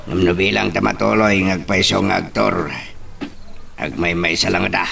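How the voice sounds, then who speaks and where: An actor with a Filipino accent playing a guarded, plain-spoken personal driver in an audio drama, casual and questioning. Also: male voice, low-pitched, steady tone, normal speed, vocal fry, sharp tone, heavily acted. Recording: noticeable background noise.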